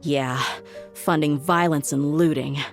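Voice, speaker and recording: A level-headed, loyal female mercenary in a video game, pitched low. The delivery is measured, frank and self-assured, with faint music underneath. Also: fairly even intonation. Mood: troubled.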